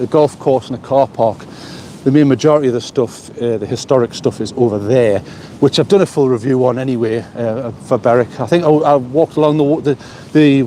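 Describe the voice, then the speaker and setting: A man's voice: medium pitch, dark tone, slight background noise. A man from the North East of England, chatting with viewers in a rambling, talkative way while he walks a seaside pier. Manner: chatty, rambling, repeats words while thinking. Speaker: vlogger. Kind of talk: live-streamed walking vlog, chatting with viewers. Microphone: small mic on a phone while walking.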